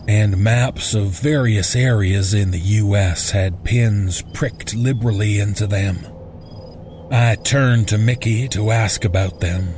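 A man narrating a scripted first-person horror story in a deliberate, suspenseful manner. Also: on the low side, a little mellow, slight background noise.

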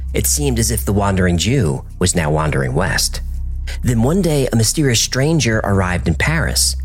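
A man narrating a scripted documentary, telling the story with a dramatic delivery and wide swings in pitch.

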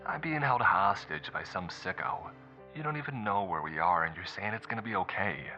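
A man's voice: low voice, animated, moderate pace, faint music behind, voice pushed up. Guy doing a kidnapped wife in an audiobook, scared but snippy and exasperated, kinda dull muffled sound. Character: frightened, sarcastic captive wife. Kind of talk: audiobook character dialogue.

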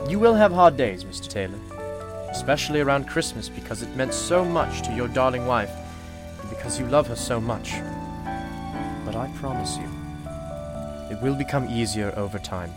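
An actor plays a wise, mysterious shopkeeper in a radio drama, gentle and formal. His mid-range voice rises and falls expressively as he reassures. Music and rain play underneath. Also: medium pitch, lightly acted. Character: kindly, wise, mysterious shopkeeper offering comfort.

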